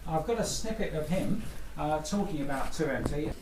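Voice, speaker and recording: A man's voice: on the high side, lively intonation, normal speed. Chatty man over noticeable ambience. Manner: friendly, laughing, conversational. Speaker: project host. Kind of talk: casual chat. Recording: noisy background ambience.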